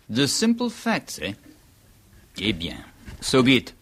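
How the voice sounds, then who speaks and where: A suave French physician with a French accent, speaking in deliberate, softly theatrical phrases. His pitch swings widely as he lingers on each point. The delivery has the polish of 1970s radio drama. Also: wildly swooping pitch, breathy edge, exaggerated voice. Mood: measured. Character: suave older male French doctor, measured and wry.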